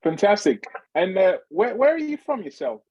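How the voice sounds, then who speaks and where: A man's voice: high voice, very animated, moderate pace, darkish tone, husky, dry recording, lo-fi sound. A man hosting an online webinar interview, asking guests friendly, encouraging questions over a video call. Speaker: webinar host.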